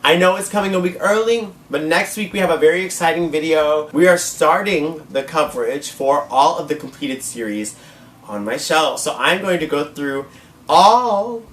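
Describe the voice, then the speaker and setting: Hoarse male vlog host, gushing and chatty, slightly high voice, off-mic in a roomy space. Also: lively intonation, moderate pace, fairly bright tone. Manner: gushing, repeats words for emphasis, says y'all. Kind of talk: casual manga haul vlog. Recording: echoey room, mic at a distance.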